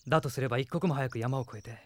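A man playing a noble, resolute young prince in a Japanese radio drama, speaking in an earnest, measured way. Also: mid-range voice, slight background noise, natural voice. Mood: concerned.

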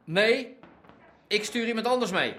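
High-pitched, scheming crime boss. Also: male voice, animated, unhurried, bright, forceful tone, full character voice. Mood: businesslike. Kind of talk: radio drama acting.

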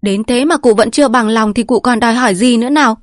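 A woman's voice: on the high side, quick pace, no echo, clean studio sound, voice pushed up, natural voice. A woman voicing an aggrieved, defiant daughter-in-law in a story, snapping and protesting sharply. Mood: indignant.

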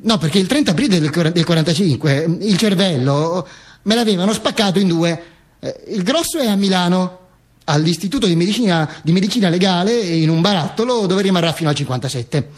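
A dead dictator narrating his own afterlife in a radio play. The voice sits high for a man. The delivery is theatrical and ironic, breaking into halting, chatty asides and leaning on repeated phrases. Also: animated. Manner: wry, halting, chatty asides with pointed repetition. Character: dead dictator's corpse recounting its own posthumous travels, wry. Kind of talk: theatrical first-person monologue on radio.

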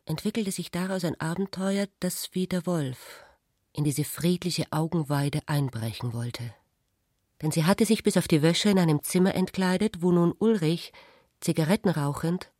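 A woman reading scripted literary narration in a measured, deliberate manner. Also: on the low side, a little mellow, dry recording, studio quality.

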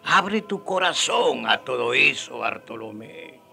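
Gravelly, solemn Dominican prior. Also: male voice, mid-range voice, animated, pushed and bright, soft background music, exaggerated voice. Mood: solemn counsel. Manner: solemn, measured, preacherly. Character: solemn, fatherly Dominican prior, counselling and exhorting. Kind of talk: radio drama acting.

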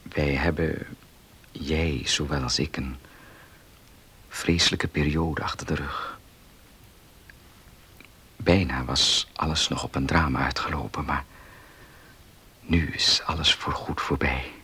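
Very deep, breathy, tender man. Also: steady tone, full character voice. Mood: tender, relieved. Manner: hushed, halting, earnest. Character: weary, tender male heir, grateful and earnest. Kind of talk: Dutch radio play acting.

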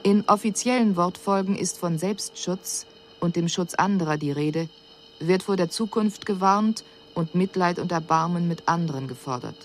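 A woman plays an alien envoy reporting on humankind in a radio drama. The voice is fairly low, with sober, precise and formal diction and an analytical detachment. The recording has a slight hiss. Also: normal speed, natural voice. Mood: detached, sober. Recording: faint hiss on 1980s radio production.

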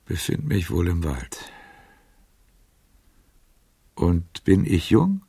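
Very deep, gravelly, breathy old gallant. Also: male voice, huge pitch swings, dark tone, exaggerated voice. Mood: wistful. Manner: slow, theatrical, musing to himself. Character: frail, aging, proud faded gallant, wistful and theatrical. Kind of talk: literary radio drama acting.

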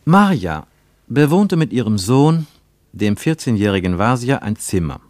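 A man reading scripted literary narration for a radio play in a measured, deliberate way with emphatic phrasing. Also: medium pitch, big pitch swings, darkish tone, no room sound, clean studio sound.

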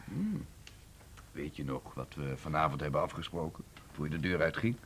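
A woman's voice: very deep voice, animated, normal speed. An actress in an intimate radio play, playing a sleepy, wry woman who murmurs dry, teasing irony to her partner in bed. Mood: sleepy, disappointed. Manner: drowsy, murmuring, dryly ironic. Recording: faint clicks and background noise.

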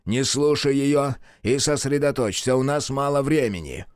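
A man voicing a stubborn old male exorcist who shouts urgent commands in a horror story. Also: mid-range voice, moderate pace, clear, resonant tone, no room sound, studio quality, pitched above the performer's own voice, close to an everyday voice.

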